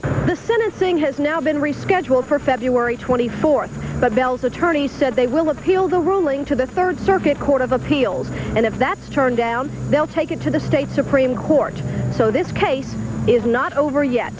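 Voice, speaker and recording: Woman tv reporter reading her news script, high voice, bit of tape hiss. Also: lively intonation, moderate pace. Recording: faint hiss, 1980s TV broadcast tape.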